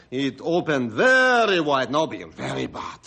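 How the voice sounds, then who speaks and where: A man playing a huge, hearty, good-natured French-Canadian lumberjack in a radio drama, speaking halting broken English. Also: on the high side, wildly swooping pitch, pushed and bright, exaggerated voice. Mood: earnest, troubled. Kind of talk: old-time radio drama acting.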